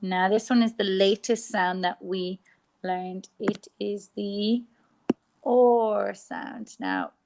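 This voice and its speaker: An Irish woman teacher giving a phonics lesson to young children, sounding out letters slowly and clearly. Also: mid-range voice, expressive, darkish tone. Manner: slow, exaggerated sounding out of letters.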